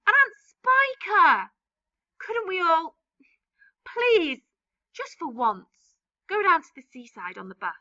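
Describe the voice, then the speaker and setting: A woman's voice: extremely high pitch, sing-song, slow pace, sharp tone, pitched above the performer's own voice, full character voice. A woman voicing a small, unhappy boy who pleads through tears. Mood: pleading. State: crying. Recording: dull, distant mic.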